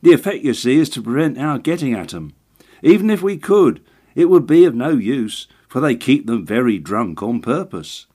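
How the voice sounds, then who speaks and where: A man voicing a fussy, excitable little election agent who confides in everyone as his dear sir. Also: mid-range voice, normal speed, breathy edge, pitched above the performer's own voice. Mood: scheming.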